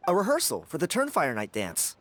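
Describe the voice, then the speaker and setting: Lively, cocky young dancer. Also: male voice, fairly high pitch, a little fast, over loud music. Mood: casual. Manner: breezy, self-assured, chuckling. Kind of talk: video game character acting.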